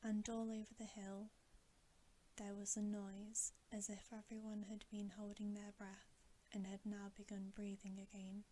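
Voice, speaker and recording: A woman reading a bedtime story as ASMR in a breathy whisper. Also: mid-range voice, monotone, measured pace, warm tone, a little hiss or hum.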